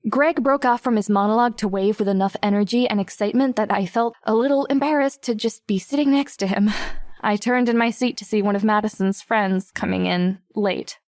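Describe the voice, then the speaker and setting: A woman's voice: mid-range voice, fairly quick, darkish tone, no echo, clean studio sound, natural voice. An actress playing a wry, self-conscious teenage girl narrating her own story in an audiobook. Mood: embarrassed, wry. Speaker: lead narrator. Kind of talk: first-person audiobook narration.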